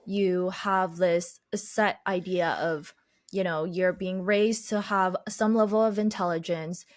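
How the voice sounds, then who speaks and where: A woman guest telling her life story in an interview, reflective and unhurried, whose first language is Chinese. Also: on the low side, steady tone, dry recording, studio quality. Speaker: podcast guest, life coach.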